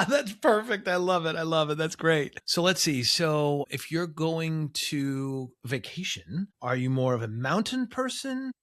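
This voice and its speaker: A man's voice: a little high, animated, a little slow, hardly any pauses, a bit bright. A man hosting a podcast, greeting listeners and asking a guest questions in a friendly, rambling way with many fillers. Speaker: podcast host. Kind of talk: casual interview hosting.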